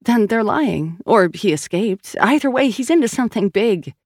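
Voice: medium pitch, expressive, normal speed, dry recording, clean studio sound, voice pushed up. An audiobook narrator playing a tense, stubborn woman police officer who speaks insistently and with exasperation. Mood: agitated, defiant. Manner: insistent, emphatic.

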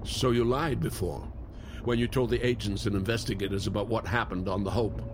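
A male actor plays a gruff older captive with a lowish voice. He is blunt and insistent, in naturalistic audio drama style. Vehicle noise runs clearly behind him. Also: steady tone, brisk, natural voice. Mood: pressing, blunt. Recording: noisy vehicle ambience behind dialogue.

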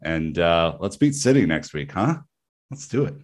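Very deep-voiced male podcast host. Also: moderate pace. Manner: relaxed, joking, with frequent fillers. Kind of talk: casual podcast chat.

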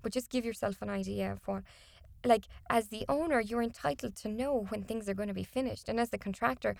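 A woman's voice: fairly high pitch, fairly quick, gravelly, no room sound, studio quality. A woman whose first language is not English, a contracts and disputes consultant, giving explanatory, emphatic interview answers with some restarts.

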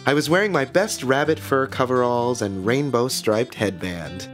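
An actor playing a relentlessly cheerful, eerie small-town radio host in a scripted monologue, theatrical and drawn-out, with a smile in his delivery. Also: medium pitch, close to an everyday voice. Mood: dreamy, delighted. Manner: theatrical, smiling, deliberately drawn-out. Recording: music behind the voice.

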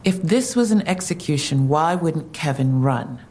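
Female detective: lowish voice, animated inflection, skeptical and probing, audio drama with faint rumble. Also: a little slow. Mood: skeptical, questioning.

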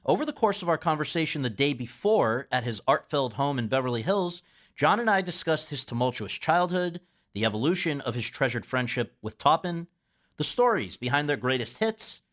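A man hosting a podcast, reading a measured scripted introduction full of quotations, then asking polite questions. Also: medium pitch, fairly bright tone. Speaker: podcast host.

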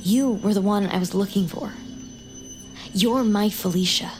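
A woman's voice: medium pitch, a little slow, music in the background, close to an everyday voice. Glib, insistent young woman, played by an actress. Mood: defensive, earnest. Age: young adult. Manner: glib, insistent, socially blunt. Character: glib, intense young woman, awkward true-crime survivor. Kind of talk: naturalistic audio drama acting.

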